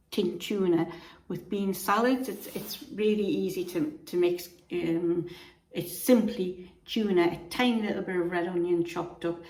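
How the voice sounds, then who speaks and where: A woman with a croaky voice from being unwell, chatting to camera in a homely, rambling way with frequent restarts. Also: fairly low pitch, darkish tone. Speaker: vlogger. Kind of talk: casual chat to camera. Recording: echoey room.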